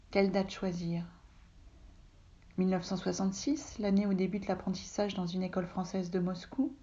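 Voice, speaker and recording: A woman from Russia whose first language is Russian, calmly reading a literary text aloud from her home office, often soft and breathy. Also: fairly low pitch, dull audio. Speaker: reader, translator.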